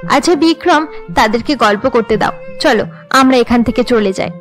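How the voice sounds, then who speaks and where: A high-pitched young cartoon woman played by a female narrator, the dutiful elder sister. She is earnest and considerate, with faint music behind her. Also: moderate pace, close to an everyday voice. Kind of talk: Bengali cartoon dialogue.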